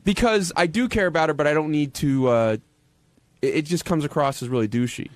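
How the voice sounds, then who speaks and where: A man in casual radio studio conversation, answering questions in a halting, defensive way with repeated restarts. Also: a little high, no echo. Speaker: radio show regular, interviewed guest.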